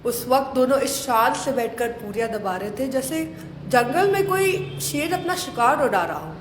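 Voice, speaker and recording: A woman's voice: fairly high pitch, lively intonation, normal speed. A woman narrating a story dramatically as part of an audio play. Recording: echoey hall, faint noise.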